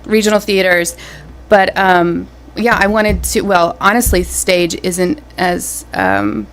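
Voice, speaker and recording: A woman's voice: fairly low pitch, lively intonation, takes it slowly, clean tone. A woman in her twenties, a stage actress and guest, chatting casually, friendly and laughing easily as she tells stories. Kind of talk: casual interview chat.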